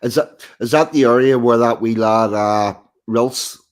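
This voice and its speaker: A man's voice: medium pitch, unhurried. A man with a broad Belfast accent and a mid-range voice, talking casually. He tells stories in a blunt, offhand way and swears now and then. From Northern Ireland. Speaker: host. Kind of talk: casual chat.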